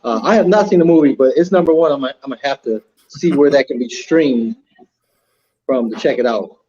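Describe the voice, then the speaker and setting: A man's voice: medium pitch, fairly quick, warm tone. A man chatting casually as co-host of an online panel show. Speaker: podcast co-host. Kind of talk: casual chat. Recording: dull lo-fi online call audio.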